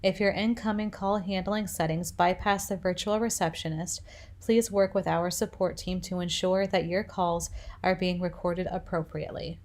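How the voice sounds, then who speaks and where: A woman with a slightly low voice narrates an instructional screencast. She guides the listener through the software one step at a time, as the presenter of a tutorial. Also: steady tone, moderate pace.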